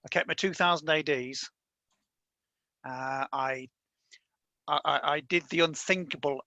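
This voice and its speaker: Yorkshire guy in his 50s, bright fairly high voice, rambly, dry close sound. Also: dry recording. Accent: light Yorkshire. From Yorkshire, England. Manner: chatty, rambling storyteller with frequent restarts. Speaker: panel guest, comic creator. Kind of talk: casual panel chat.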